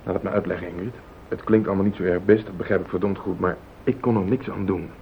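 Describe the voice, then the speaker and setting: An actor playing a rattled, evasive husband in a radio play, agitated and pleading. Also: male voice, steady tone, quick pace. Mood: defensive. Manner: agitated, pleading, self-justifying. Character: rattled, evasive husband hiding a car accident. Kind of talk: Dutch radio play acting. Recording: muffled phone-like old radio recording, rumbling hiss.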